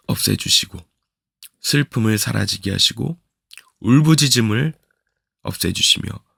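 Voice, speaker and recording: A man preaches a daily devotional with his voice worn hoarse by a cold. His low, deeply gravelly tone sits close to the mic in a dry space. He moves slowly and earnestly, often pausing on reflective questions. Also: fairly bright tone, no room sound. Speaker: pastor.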